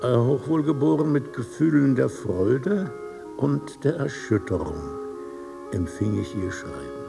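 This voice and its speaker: A man playing an eminent, courteous poet in a radio drama, delivering a letter in measured, formal and gently admonishing phrases. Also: medium pitch, very animated, vocal fry, music in the background, heavily acted.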